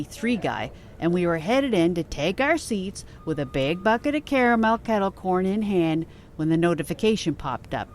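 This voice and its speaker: A woman's voice: fairly low pitch, a little hiss or hum, natural voice. A woman playing a snarky, defensive friend with a dry, wry manner in an audio drama. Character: snarky, defensive female friend, grudging fan.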